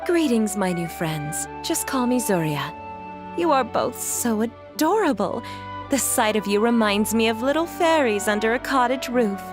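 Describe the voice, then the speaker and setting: A woman playing a gentle, genteel mother and employer in a video game, speaking politely and in measured phrases over music. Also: fairly high pitch, expressive. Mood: gracious, warm. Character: refined, gentle, courteous mother and wealthy employer.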